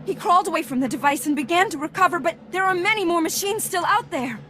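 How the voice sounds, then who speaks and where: An actress playing an alarmed young villager in a video game, reporting with urgency and anxiety. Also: female voice, very high-pitched, quick pace, a bit bright, soft background music. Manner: urgent, anxious. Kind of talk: video game drama acting.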